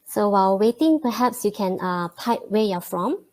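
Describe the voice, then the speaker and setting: A woman from Malaysia, hosting a live programming webinar, chatty and friendly, with frequent ums and pauses. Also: mid-range voice, lively intonation, darkish tone, low-quality recording. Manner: friendly, many fillers and pauses. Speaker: webinar host and programming teacher. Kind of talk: live online webinar greeting and intro.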